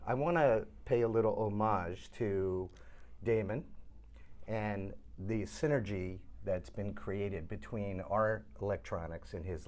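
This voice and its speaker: A man with a fairly low voice speaks as a guest in a product interview. He is casual and familiar, chatting off the cuff rather than reading. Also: a little mellow. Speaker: amplifier importer being interviewed. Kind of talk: casual unscripted product talk. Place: hotel exhibit room at an audio show.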